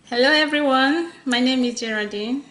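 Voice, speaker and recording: A woman's voice: high voice, moderate pace, fairly bright tone. A woman from Nigeria giving a brief, matter-of-fact introduction on a live panel. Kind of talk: casual live-stream chat. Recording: roomy echo.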